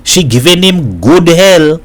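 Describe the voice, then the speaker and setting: A streetwise Trinidadian character from a storybook , as a male narrator plays him. He talks bluntly and teasingly in island idiom. The voice is pitched somewhat high for a man. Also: lively intonation, takes it slowly. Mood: skeptical.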